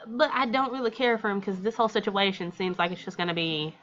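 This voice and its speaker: A woman's voice: medium pitch, quick pace, fairly bright tone, lo-fi sound. An American woman reading a visual novel aloud and commenting casually as a let's play host. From Texas, United States.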